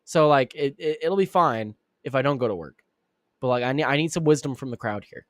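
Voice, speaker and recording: Male live-show host with a raspy, slightly sick voice, mid pitch, rambling delivery, dry close sound. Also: animated, brisk, no echo. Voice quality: raspy, deeper than usual. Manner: rambling, many restarts and fillers. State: sick, clearing throat and coughing. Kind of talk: casual live-stream commentary.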